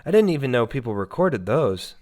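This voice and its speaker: A man voicing a calm woman in a horror story, casual and matter-of-fact. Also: mid-range voice, brisk, close to an everyday voice. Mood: observant.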